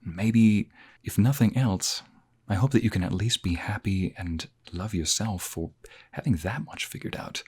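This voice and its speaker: A man voicing a calm, polite therapist in a listener-directed roleplay, speaking in a measured, reassuring way. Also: on the low side, slightly rough. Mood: encouraging. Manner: soft-spoken, measured, reassuring. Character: calm polite male therapist, gently honest. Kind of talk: ASMR roleplay narration addressed to the listener.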